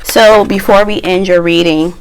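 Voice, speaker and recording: A middle-aged woman giving a tarot reading in a chatty, slangy way, a little hoarse from a cough. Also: on the low side, poor audio. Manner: slow, relaxed, chatty with slang. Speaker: tarot reader. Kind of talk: pick-a-card tarot reading.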